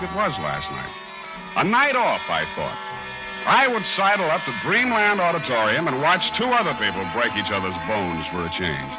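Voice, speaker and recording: A male actor plays a world-weary, wisecracking male private eye in a vintage radio drama. His pitch moves freely as he delivers wry, clipped banter and narration. Music plays in the old broadcast. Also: close to an everyday voice, poor audio. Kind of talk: 1940s radio drama acting, first-person narration.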